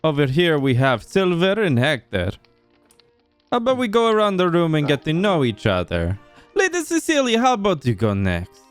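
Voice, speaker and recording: A man acts out a suave vampire lord from a game roleplay. His voice is clean and smooth, swinging widely in pitch over background music. He is formal, courteous and deliberate. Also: wildly swooping pitch. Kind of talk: Minecraft roleplay acting.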